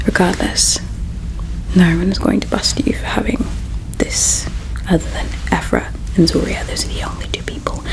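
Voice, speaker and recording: A woman voicing a gentle, melancholy alien palace servant in an ASMR roleplay, speaking in a hushed, halting, confiding way. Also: lowish voice, gravelly, low-quality recording. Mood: hushed, worried. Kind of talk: ASMR roleplay, soft whispering.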